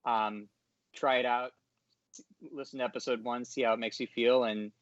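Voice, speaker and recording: A man's voice: mid-range voice, steady tone, moderate pace, no echo, lo-fi sound. A man talking casually as a podcast guest, hesitant and polite in his phrasing. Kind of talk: casual interview talk. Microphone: online call.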